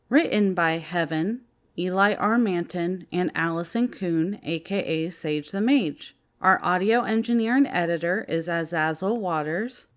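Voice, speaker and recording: A woman announces credits in a scripted narration style. Her voice sits a little low and she reads at an unhurried pace.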